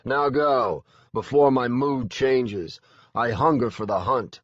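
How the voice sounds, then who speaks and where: A man's voice: medium pitch, slow pace, no room sound, muffled recording, voice pushed up. A man voicing a huge, menacing fae lord who speaks in a bored drawl.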